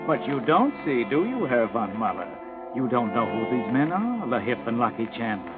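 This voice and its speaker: A man's voice: medium pitch, big pitch swings, normal speed, close to an everyday voice. An actor playing a taunting, all-knowing narrator of a 1940s radio crime story, addressing the listener as the guilty man in a mocking, ominous way. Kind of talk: 1940s radio drama narration, second person. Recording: thin, phone-like old radio recording. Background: music.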